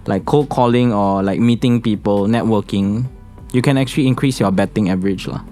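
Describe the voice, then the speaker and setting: A young man with a Singaporean English accent, speaking calmly and evenly as a financial consultant guest on a podcast. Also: brisk, faint music behind. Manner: calm, low-key, says he speaks monotonously. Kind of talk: casual podcast chat.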